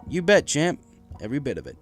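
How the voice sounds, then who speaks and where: A narrator voicing a warm, gruff pegasus father who runs a factory, in a storybook reading. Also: medium pitch, huge pitch swings, fast, faint music behind. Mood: hearty, welcoming.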